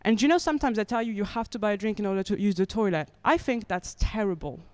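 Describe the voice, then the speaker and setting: A woman's voice: mid-range voice, fast, darkish tone, no room sound. A young woman from France performing stand-up comedy with a wry, chatty delivery that keeps checking in with the audience. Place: comedy club stage.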